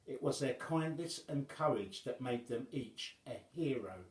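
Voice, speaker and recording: A man reading a rhyming children's story aloud as an expressive storyteller. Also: medium pitch, a little slow, roomy sound.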